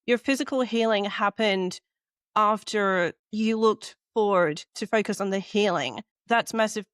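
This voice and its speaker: A woman's voice: medium pitch, unhurried, a bit bright, no echo, studio quality. A woman hosting a podcast interview who thinks aloud and corrects herself as she goes, her voice worn and going. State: losing her voice. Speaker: podcast host. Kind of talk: conversational interview.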